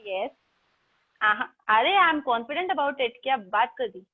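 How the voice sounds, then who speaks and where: A woman's voice: sits high, expressive, normal speed. A woman from South India with an Indian English accent, teaching an online English class in a chatty, teasing way and talking straight to her students. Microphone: video call. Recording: tinny video-call audio.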